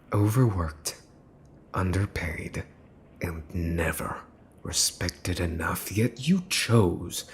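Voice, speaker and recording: A man's voice: low-pitched, slight background noise, full character voice. A man playing a smug, menacing young mafia boss's son in an ASMR roleplay, mocking and teasing with theatrical deliberation. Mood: scornful. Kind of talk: ASMR roleplay, dramatic monologue to the listener.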